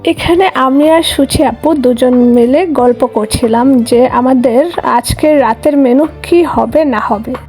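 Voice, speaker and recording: A woman's voice: high-pitched, takes it slowly, darkish tone, faint music behind. A woman with a Bangladeshi accent narrating a casual family travel vlog in voice-over.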